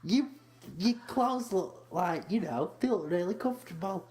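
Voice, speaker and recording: A young man co-hosting a comedy podcast, sweary and deadpan, teasing his friend. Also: sits high, unhurried, heavy background noise. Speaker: podcast co-host. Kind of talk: casual comedy podcast chat.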